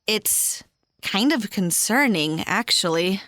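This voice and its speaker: A woman's voice: mid-range voice, lively intonation, slow pace, fairly bright tone, dry recording. A woman playing a laid-back traveler confiding in a friend, in a casual, conversational audio drama style. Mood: quietly concerned.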